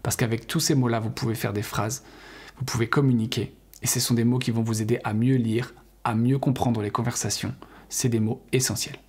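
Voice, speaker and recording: A man's voice: medium pitch, little pitch movement, brisk, a little mellow, slightly rough. A middle-aged French man giving an encouraging spoken lesson to camera, earnest and full of fillers and restarts. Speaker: English teacher.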